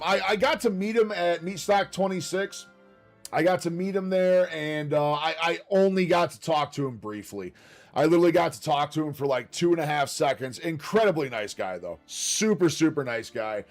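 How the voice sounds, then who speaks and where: A man hosting a casual livestream, reading notes aloud with chatty asides, his voice slightly raspy from a long stream. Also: fairly high pitch, animated, normal speed, soft background music. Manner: chatty and upbeat, quiet asides while reading. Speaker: livestream host.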